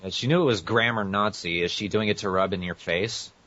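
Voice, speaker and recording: A man with a very deep voice co-hosts a casual group podcast. His delivery is conversational, and the sound is dull and lo-fi. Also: a little fast, fairly bright tone. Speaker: podcast co-host. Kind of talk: casual group podcast chat, some reading aloud. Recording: muffled lo-fi internet podcast audio.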